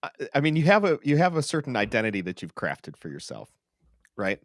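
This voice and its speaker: A man's voice: medium pitch, huge pitch swings, a little fast, no room sound, studio quality. A man hosting a podcast in casual conversation, reflecting thoughtfully and affirming the person he talks with. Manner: affirming, reflective, checks in with "right?". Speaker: podcast host. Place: online video call for a livestream podcast.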